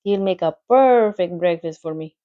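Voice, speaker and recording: A woman's voice: on the low side, normal speed, narrow, tinny audio, close to an everyday voice. A woman reading a storybook aloud, voicing a clever, matter-of-fact female spider in a pleasant, thin voice with a put-on accent. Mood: demonstrating. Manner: put-on accent, relishing, lecturing.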